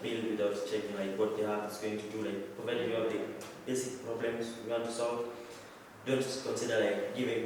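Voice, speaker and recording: A Kenyan man, a Swahili speaker and software developer, talking haltingly as an interview guest and searching for words. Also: on the low side. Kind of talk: casual interview chat. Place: at a hackathon venue. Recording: echoey hall from far off, noisy.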